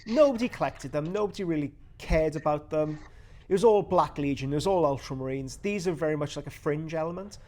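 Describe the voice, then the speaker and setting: A man's voice: fairly high pitch, a little fast, a little mellow. Enthusiastic Welsh man chatting about miniatures. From Wales. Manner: enthusiastic, rambling with restarts. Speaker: host. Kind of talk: casual hobby chat.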